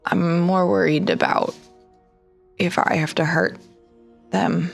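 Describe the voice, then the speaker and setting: Woman, tabletop player, low-pitched, casual in-character chat with faint music behind. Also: big pitch swings, slow, vocal fry.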